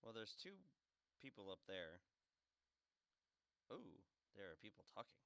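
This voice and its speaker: Low, gravelly, dry-humored man. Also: very animated, unhurried, pushed and bright, no echo. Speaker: let's play co-host. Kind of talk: casual game commentary.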